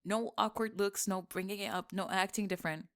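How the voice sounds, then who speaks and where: A woman playing a bossy, secretly smitten young tomboy best friend in an intimate ASMR roleplay, teasing and defensive, with halting, stammering delivery. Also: mid-range voice, steady tone, a bit bright, natural voice. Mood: bossy, nervous.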